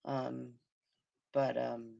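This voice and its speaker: Hoarse, gravelly woman livestream host. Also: very deep voice, level tone, extremely slow, dark tone, dull audio. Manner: murmuring, sympathetic, hums to herself. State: hoarse, losing her voice. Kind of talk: casual livestream commentary on a crime case.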